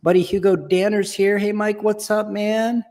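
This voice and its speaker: A man hosting a live online show about comic conservation, talking in a relaxed, rambling, self-deprecating way. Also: sits high, moderate pace. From Texas. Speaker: host. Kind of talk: casual live show chat.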